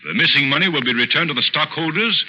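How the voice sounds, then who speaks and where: A man playing a suave, assured detective who explains things in a polished, deliberate way, in 1940s radio drama style. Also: a little high, lively intonation, pushed and bright, full character voice. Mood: confident. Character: suave, assured amateur detective, calm and reasoning. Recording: dull, slightly noisy old radio recording.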